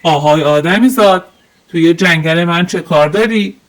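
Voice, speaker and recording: A woman voicing a fat, lazy male wolf in a storybook, loud, bullying and domineering. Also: fairly low pitch, pitched below the performer's own voice, close to an everyday voice. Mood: menacing.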